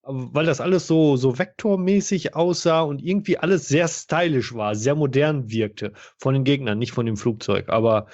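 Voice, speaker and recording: A man's voice: medium pitch, normal speed, hardly any pauses, a little mellow. Man chatting casually, dull sound. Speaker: podcast panelist. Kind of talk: casual discussion of retro video games. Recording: dull, band-limited sound.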